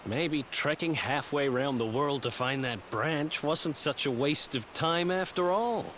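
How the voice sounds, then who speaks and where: An actor playing a brisk, self-assured young rogue in a video game, talking casually. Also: male voice, a little high, fairly bright tone, a little hiss or hum. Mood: pleased, confident. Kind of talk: video game cutscene acting.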